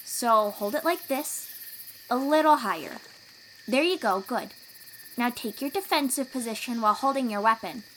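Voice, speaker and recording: Woman acting as young sea-dweller fighter, female, higher-pitched, protective, encouraging, playful teasing, audio roleplay, faint outdoor insect noise. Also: low-quality recording. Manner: encouraging, instructive, gently teasing.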